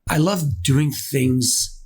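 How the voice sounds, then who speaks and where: A man's voice: mid-range voice, very slow, a little mellow, breathy edge. A man, a business founder, answering podcast interview questions in an earnest, conversational way, repeating himself for emphasis. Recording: faint background noise.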